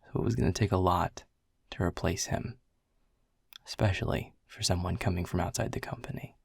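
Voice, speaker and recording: A man's voice: fairly even intonation, a little fast, dry recording, exaggerated voice. An actor playing a world-weary, sarcastic male middle manager who grumbles and teases dryly. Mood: gruff teasing. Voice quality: low gravelly husky voice. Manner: sarcastic, grumbling, dry teasing. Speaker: boss giving a farewell speech. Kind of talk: audio roleplay acting. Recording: dry close mic.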